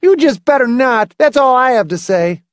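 Storybook kid, voiced by a man: high, lively, stubborn, vigorously resentful, quick to turn belligerent. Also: dry recording, clean studio sound, exaggerated voice. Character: eager, resentful, stubborn young boy. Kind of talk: audiobook character voice.

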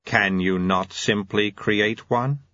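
A man voicing a cold, imperious, inhuman male official who speaks in deliberate, formal, pedantic phrases, in an audiobook. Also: fairly low pitch, fairly even intonation, sharp tone, dry recording, highs cut off.

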